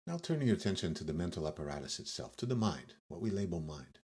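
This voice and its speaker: A man leading a guided meditation as the guide, speaking in measured short phrases with pauses between gentle instructions. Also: sits low, sing-song, slightly rough. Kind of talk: guided yoga nidra meditation.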